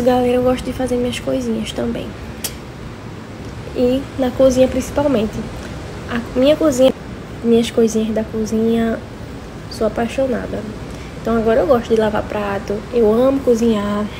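A woman in her twenties with a Northeastern Brazilian accent and a slightly hoarse voice, chatting casually to her followers on a phone at home. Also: a little high. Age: 20s. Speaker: social media vlogger. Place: indoors at home, several rooms. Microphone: phone at arm's length. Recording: noisy phone recording at home.

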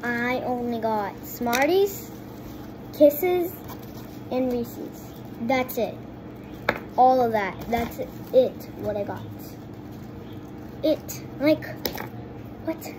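A woman's voice: on the high side, expressive, drawn-out words, pauses often, noisy background. A young girl vlogging casually at a kitchen table, rambling and restarting her sentences as she talks to her viewers. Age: child. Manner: chatty, rambling, restarts often. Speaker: kid vlogger. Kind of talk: casual chat to camera. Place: home kitchen table by a window. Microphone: phone propped on the table.

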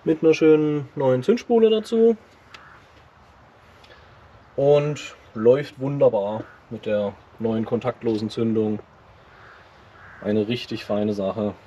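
A man's voice: mid-range voice, slow, long pauses, lo-fi sound, a little hiss or hum. A man hosting a casual car vlog, presenting his vintage car outdoors in an enthusiastic, chatty way. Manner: enthusiastic, chatty, relaxed hobbyist talk. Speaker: car channel host.

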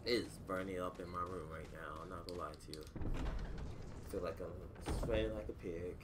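A man giving casual, loud commentary while playing a game in his hot room, taunting the enemies. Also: low voice, fairly even intonation, normal speed, background noise. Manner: trash-talks game enemies, loud outbursts. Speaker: let's play gamer.

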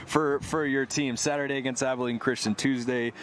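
A man's voice: mid-range voice, steady tone, fairly quick. A young man, a sports broadcaster, asking post-game questions in a polished broadcast delivery on a headset mic outdoors. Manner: polished broadcast delivery, recaps plays in detail. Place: outdoor ballpark, beside the field. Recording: outdoor ballpark with clear background noise.

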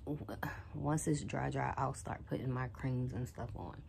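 A woman's voice: rumbling low, steady tone, unhurried, gravelly, a little mellow, slight background noise. A young woman chatting casually while she tidies her bedroom, rambling through a book plot with lots of fillers, moving near and away from a camera set on a shelf. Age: young adult. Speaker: vlogger. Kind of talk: casual chat while tidying. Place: small bedroom with bookshelf and TV, indoors. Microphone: phone or camera set on a shelf, she moves near and away.

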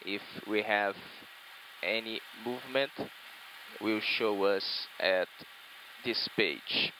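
Bright-voiced pilot explaining slowly. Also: male voice, on the low side, slight background noise, rough recording. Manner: slow, explaining cockpit displays step by step. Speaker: airline pilot. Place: airliner cockpit.

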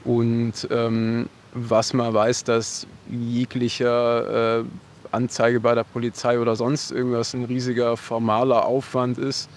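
A man answering a street interview outdoors in thoughtful, measured sentences with frequent fillers, into a handheld mic. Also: medium pitch, monotone, a little hiss or hum.

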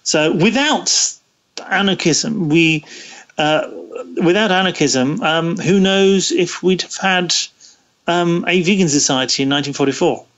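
A man being interviewed, explaining history conversationally in a hesitant, halting way with frequent pauses mid-phrase. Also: on the high side, a bit bright, dull audio. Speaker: guest, interviewee.

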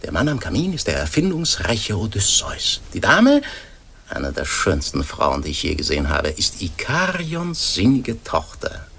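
Pompous, swooping lecture presenter. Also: male voice, fairly low pitch, wildly swooping pitch, measured pace, vocal fry, fairly bright tone, husky, exaggerated voice. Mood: mock-solemn. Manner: declamatory, ornate, pompous. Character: mock-solemn scientific presenter, grandly addressing his audience. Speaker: narrator. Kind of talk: radio play narration as mock lecture.

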